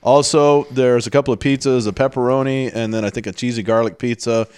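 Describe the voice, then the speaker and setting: Guy hosting radio, clean smooth voice, dry studio sound, loose jokey chatter. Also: mid-range voice, steady tone, a little fast, darkish tone, dry recording. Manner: informal, joking, rambling. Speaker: radio show host. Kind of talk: casual live radio banter. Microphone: close studio radio mic.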